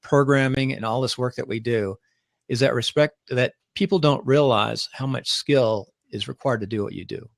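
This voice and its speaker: A man's voice: mid-range voice, normal speed, gravelly, dry recording. A man hosting a podcast on a live video stream, talking casually and rambling through frequent restarts. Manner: rambling, restarts often, chatty. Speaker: podcast host. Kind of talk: casual livestream chat.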